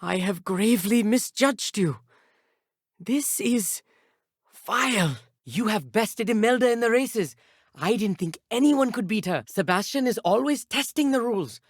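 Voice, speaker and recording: An actor playing an earnest, bookish teenage schoolboy wizard in a video game, polite and a little nervous, with precise, slightly formal speech. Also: male voice, very high voice, no room sound, studio quality, full character voice. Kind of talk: scripted video game dialogue.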